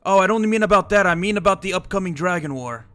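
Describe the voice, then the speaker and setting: Young guy rambling in character with lots of restarts, slightly high voice, music under it. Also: expressive, fast, fairly bright tone. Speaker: roleplayer. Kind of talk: in-character roleplay chat.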